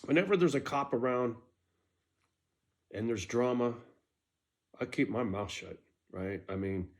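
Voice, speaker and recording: A man's voice: medium pitch, measured pace. Blunt, profane middle-aged man telling stories. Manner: blunt, profane, conversational with frequent "right?". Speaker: storyteller telling his own life story. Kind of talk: casual personal storytelling.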